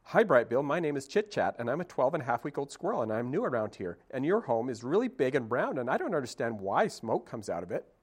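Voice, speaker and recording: A man's voice: mid-range voice, sing-song, fast talker, hardly any pauses, slightly rough, pitched above the performer's own voice. A man voicing a nervous, chatty young female squirrel who rambles on without a breath, in a storybook reading. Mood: rambling.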